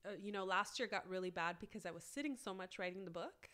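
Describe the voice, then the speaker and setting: A woman guest on a podcast, talking casually with lots of "like" fillers, her voice raspy from a cold. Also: mid-range voice, fast talker, bright, forceful tone. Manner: chatty, many "like" fillers. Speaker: guest, plant-based cookbook author.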